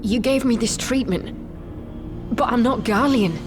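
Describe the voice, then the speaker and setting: A woman's voice: a little high, slow pace. A woman acting a bitter, vengeful young soldier in a video game drama, speaking with raw earnestness over music. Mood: shaken, questioning. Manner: intense, earnest, deferential to her lord.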